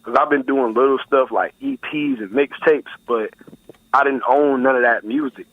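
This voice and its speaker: A man's voice: medium pitch, normal speed, dry recording. Laid-back 19-year-old man on a phone line. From Buffalo, New York. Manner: laid-back, easygoing, slangy. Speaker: guest rap artist. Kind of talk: casual phone interview. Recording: tinny phone line, dry.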